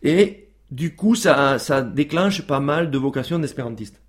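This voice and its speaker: A man's voice: a little high, lively intonation, measured pace. A man as a guest on a radio show, talking casually with many fillers and restarts.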